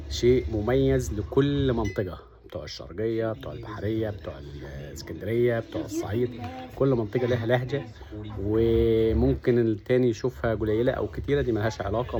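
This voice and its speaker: A man's voice: fairly low pitch, a little mellow. A man from Upper Egypt with a Sa'idi accent, talking earnestly to camera and laying out his points one by one. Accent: Upper Egyptian (Sa'idi) Arabic. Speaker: vlogger. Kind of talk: casual monologue to camera.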